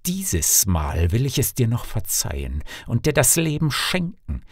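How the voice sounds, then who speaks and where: A man's voice: medium pitch, huge pitch swings, measured pace, dry recording, clean studio sound, full character voice. A man voicing a stern, cruel, hot-tempered husband who speaks in emphatic threats, for a fairy tale audiobook. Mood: stern threatening.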